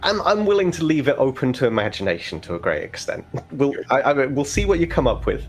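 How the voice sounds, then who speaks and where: A man's voice: medium pitch, sing-song, a little fast. A man running a tabletop roleplaying game, narrating and asking players questions in a casual conversational way. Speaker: game master.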